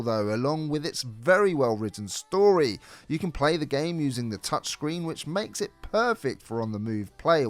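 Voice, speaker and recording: A man reading a scripted video game review as a co-host. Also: medium pitch. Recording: music behind the voice. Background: background music.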